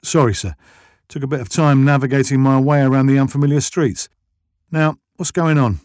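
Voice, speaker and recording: A man's voice: medium pitch, fairly quick, darkish tone, no echo, studio quality, pitched above the performer's own voice, close to an everyday voice. A man voicing an earnest, polite and wry male police detective in an audio story. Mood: polite, businesslike.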